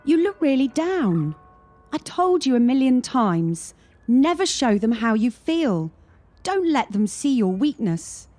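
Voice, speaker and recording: Slow-talking, hardened young gymnast. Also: female voice, high-pitched, big pitch swings, warm tone, full character voice. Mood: dismissive, cynical. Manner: blunt, resigned, world-weary. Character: hardened, cynical young female gymnast from Kyiv. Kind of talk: radio play acting.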